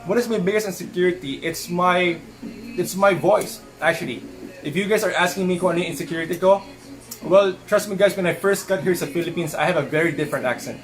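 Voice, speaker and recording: A young man talks to viewers in a relaxed live-stream Q&A. His voice sits a little high for a man. He is earnest, mixes English with Tagalog, and sometimes stumbles over his words when his thoughts run ahead. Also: fast, faint music behind. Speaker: pageant titleholder answering fan questions.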